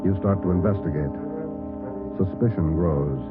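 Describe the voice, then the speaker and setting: A man reading a scripted 1940s radio drama introduction in a stern, clipped, measured way. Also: sits low. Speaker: radio announcer. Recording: muffled old radio broadcast recording. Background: loud brass music.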